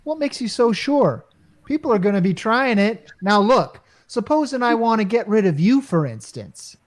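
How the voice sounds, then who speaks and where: A woman's voice: on the high side, big pitch swings, moderate pace. A woman playing a wisecracking, easily alarmed male office worker in an old-time radio comedy, colloquial and urgent.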